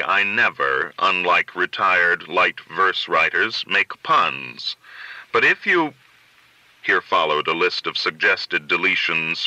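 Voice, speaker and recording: A man reading literary fiction aloud as an audiobook narrator, in a deliberate, theatrical way, phrase by phrase. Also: sits low, sharp tone, no room sound, poor audio. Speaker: audiobook reader.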